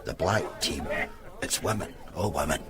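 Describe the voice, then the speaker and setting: A man's voice: a bass voice, measured pace. An actor playing a shifty male informant with a Glasgow accent who talks in a sly, wheedling, hesitant way. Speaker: police informant. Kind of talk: radio drama acting.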